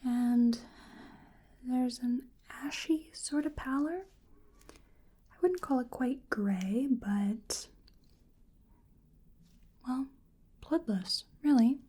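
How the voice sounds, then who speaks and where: Female ASMR performer as a curious, polite examiner: breathy half-whisper, mellow tone, gentle and musing, unhurried. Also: high-pitched. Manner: gentle, hesitant, musing delivery. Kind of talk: soft-spoken ASMR roleplay.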